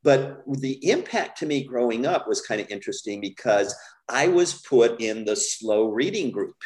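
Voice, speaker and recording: An American man, middle-aged, speaking as an interview guest in a measured, candid, reflective way. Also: medium pitch, expressive, a little mellow. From United States. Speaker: podcast guest. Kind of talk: conversational interview storytelling.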